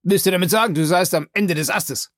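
A reader voicing an excitable, obsessive man who calls out commands in an urgent voice. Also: mid-range voice, a little fast, no room sound, studio quality, voice pushed up, lightly acted. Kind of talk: audiobook character voice.

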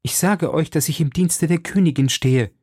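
A man's voice: on the high side, normal speed, a little mellow, husky, no echo, voice pushed up. A man voicing a desperate young woman who is under arrest and pleads insistently with her captors. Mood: pleading.

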